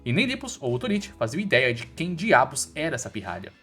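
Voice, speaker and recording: A man's voice: mid-range voice, wildly swooping pitch, fast, pushed and bright, breathy edge. A man with a Brazilian accent reads a scripted story recap in an informal, slangy storytelling way. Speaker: recap narrator. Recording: voice-over with background music. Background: music.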